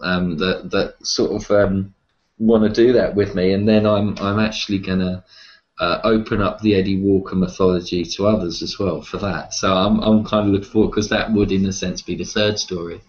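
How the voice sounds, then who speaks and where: A man being interviewed, talking casually and reflectively about his writing, with hesitant pauses. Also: low-pitched, steady tone, lo-fi sound. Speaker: interview guest, horror writer and publisher.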